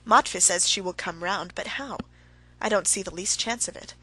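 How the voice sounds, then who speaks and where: A woman voicing a guilt-stricken, easygoing husband, soft and muttering to himself. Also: medium pitch, moderate pace, slightly rough, low-quality recording. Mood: musing.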